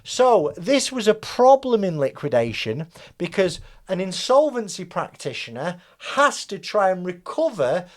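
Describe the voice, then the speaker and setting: A man's voice: sits high, very animated, measured pace. A British man presenting business advice in an informal, conversational explainer style, often checking in with the listener. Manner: conversational, checks in with "right?" and "okay?".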